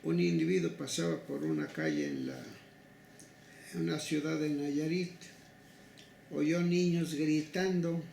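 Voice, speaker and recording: An older man with a Mexican accent, recounting past cases in an interview in a slow, halting, deliberate way. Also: on the high side, fairly even intonation, creaky, darkish tone. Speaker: interviewee, human rights legal advocate. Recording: echoey room with faint noise.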